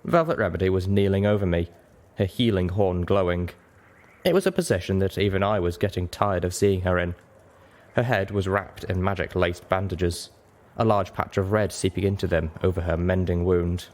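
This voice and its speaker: A man narrates a story in a low-pitched voice. His delivery is expressive, in the manner of an audiobook reader. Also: moderate pace.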